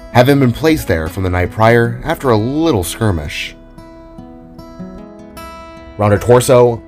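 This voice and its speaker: A man narrating a scripted audio drama story in a deliberate, expressive storytelling manner. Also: mid-range voice. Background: solo acoustic guitar music.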